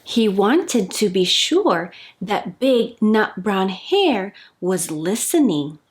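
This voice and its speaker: Storytime lady talking to kids, super expressive n sing-song, takes her time, music behind. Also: mid-range voice, slow pace. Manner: addresses children directly, sing-song emphasis. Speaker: host of children's storytime. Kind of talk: expressive read-aloud for children.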